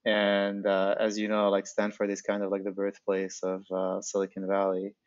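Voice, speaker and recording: A man's voice: deep voice, monotone, normal speed, smooth, dry recording. A middle-aged man from Algeria giving casual, informal interview answers as a guest, on slightly dull audio. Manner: informal, frequent filler "like". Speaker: podcast guest, startup founder.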